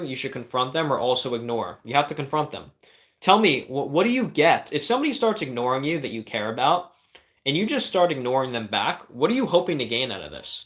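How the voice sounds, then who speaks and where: A man's voice: fairly high pitch, a bit bright. A young man, a life coach, reading viewers' questions on a livestream and answering with blunt, direct advice and rhetorical questions.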